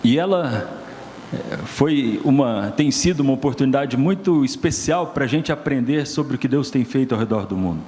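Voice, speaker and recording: A man's voice: medium pitch, expressive, moderate pace, darkish tone. A male pastor delivers a sermon in a church, his voice carrying a long hall reverb over a faint background hiss. He builds his points with rising, emphatic questions before calling a guest up to talk. The recording has the open, echoing feel of a sanctuary. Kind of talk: sermon and stage interview.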